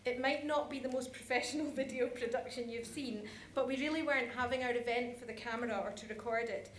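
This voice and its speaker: Higher-voiced woman presenting in an echoey room. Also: fairly even intonation, fast. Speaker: conference presenter. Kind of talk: informal conference presentation. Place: conference hall, live presentation. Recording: echoey room with background noise.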